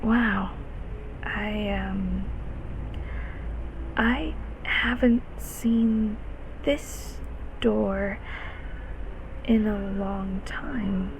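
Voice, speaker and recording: A woman's voice: mid-range voice, steady tone, very slow, creaky, long pauses, background noise. A woman voicing a nervous, kindly childhood closet creature in an audio roleplay, stammering and apologetic as she speaks to the listener. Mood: quiet wonder. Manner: flustered, stammering, repeating words.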